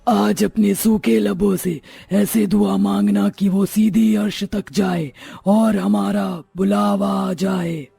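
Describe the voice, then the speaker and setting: A man voicing an old ghoul from a haunted family in a cartoon story, husky and creaky, with a sardonic manner. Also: sits high, fairly even intonation, takes it slowly, warm tone, no echo, faint music behind, exaggerated voice. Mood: solemn. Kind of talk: cartoon horror story acting.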